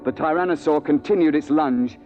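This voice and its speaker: Stern British male hunting guide, played by an actor, brisk command, old dull radio sound with music behind. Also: a little high, lightly acted. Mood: gripping narration. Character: stern seasoned male hunting guide recounting a dinosaur safari. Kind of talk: vintage radio drama acting and narration.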